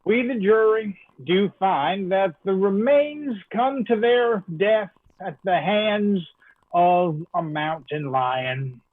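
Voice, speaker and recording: Actor as slow rustic old jury foreman, phone-like sound. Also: high voice. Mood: solemn, halting. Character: gruff, coarse sixty-year-old jury foreman, rural and sardonic. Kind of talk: old time radio drama acting. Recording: thin phone-like remote line, roomy.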